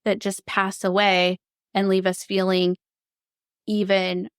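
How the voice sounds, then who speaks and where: A woman's voice: medium pitch, level tone, slow, smooth, dry recording, studio quality. A woman hosting a podcast, affirming and empathetic as she asks her guest open questions. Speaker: podcast host. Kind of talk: conversational interview.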